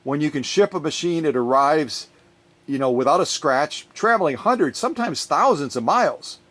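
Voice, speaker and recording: A man chatting casually in a vlog, telling a rambling story in an animated way as he gets ready to unbox a sewing machine in his workshop. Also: on the high side, normal speed. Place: workshop, recording his own video.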